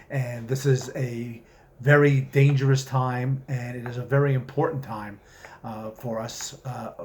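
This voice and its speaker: A man speaking as an author presenting and reading his own short story, with measured, deliberate phrasing. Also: medium pitch, a little hiss or hum. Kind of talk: story reading with spoken introduction.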